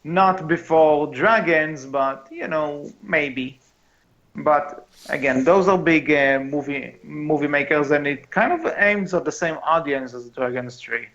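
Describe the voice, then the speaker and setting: A man's voice: fairly high pitch, slow pace, a bit bright. A man hosting a casual podcast discussion, hesitant and often repeating himself. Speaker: podcast host. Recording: dull lo-fi mic.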